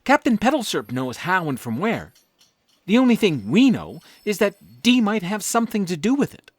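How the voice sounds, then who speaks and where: A woman's voice: mid-range voice, sing-song, normal speed, husky, no room sound. A woman playing an eager, newly deputized hero who takes charge in an audio drama. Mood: explaining. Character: Ace, a deputized young time hero.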